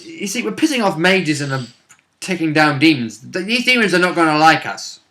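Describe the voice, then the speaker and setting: A man chatting casually as a tabletop game player, jokey and animated, with slangy asides. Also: on the high side, fairly quick. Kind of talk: casual chat.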